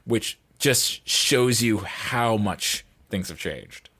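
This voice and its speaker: A man's voice: fairly low pitch, lively intonation, extremely slow, a bit bright, slightly rough. A man chatting casually as a podcast guest, rambling and saying "like" a lot. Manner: rambling, peppers speech with "like". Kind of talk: casual chat.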